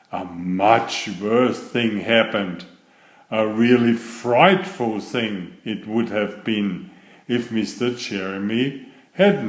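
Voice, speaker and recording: A man reading a children's story aloud in an expressive, deliberate, dramatic manner, stretching key words. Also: medium pitch. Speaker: children's story narrator and author. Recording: roomy, echoey sound.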